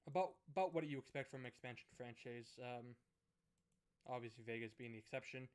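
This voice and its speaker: A man with a hoarse, fading voice, thinking aloud as he commentates a hockey management game. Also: medium pitch. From Canada. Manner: musing aloud, unhurried, thinking through choices. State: hoarse, losing his voice. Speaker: gaming commentator. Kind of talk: let's play commentary of a management sim.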